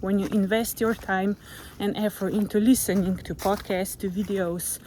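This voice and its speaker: A woman with a Slovenian accent, speaking English as a second language in a gentle, reflective motivational vlog while walking outdoors, sometimes searching for words. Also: medium pitch, fairly even intonation, normal speed, a little hiss or hum, poor audio. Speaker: vlogger. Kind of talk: reflective motivational monologue to camera. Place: outdoors on a nature walk. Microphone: camera held while walking.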